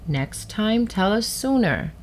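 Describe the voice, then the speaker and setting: A woman voicing a gentle but firm father at bedtime in a storybook. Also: medium pitch, sing-song, slow, voice pushed up. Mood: gently firm.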